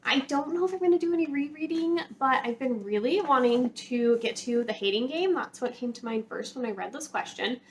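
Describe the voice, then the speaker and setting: A woman with a fairly high voice talks casually to her viewers as a book vlogger. She is informal and chatty, wandering into hedged asides. There is faint noise behind her voice. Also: brisk, hardly any pauses. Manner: informal, hedging, rambling asides. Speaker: book vlogger, channel host.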